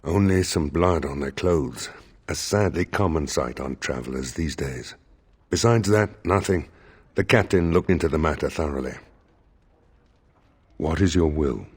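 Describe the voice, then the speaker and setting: An actor playing a stern court steward in a video game, speaking in a measured, formal and dryly wry way. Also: male voice, very deep voice, breathy edge, heavily acted. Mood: dry, weary.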